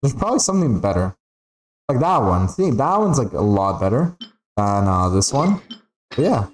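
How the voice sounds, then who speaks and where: A man's voice: lowish voice, sing-song, moderate pace, slight background noise. A man whose first language is Spanish, giving casual, rambling gaming livestream commentary with playful, sweary grumbling. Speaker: game streamer.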